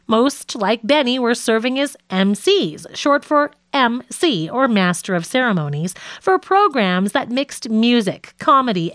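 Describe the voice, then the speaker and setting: A woman reading a scripted nonfiction audiobook in a measured, articulate way. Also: medium pitch, dry recording, clean studio sound. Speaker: audiobook narrator.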